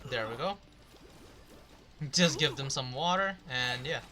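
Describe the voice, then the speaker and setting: A man's voice: medium pitch, animated, a little slow, pushed and bright. A man doing casual, rambling gameplay commentary, swearing now and then. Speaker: video game streamer.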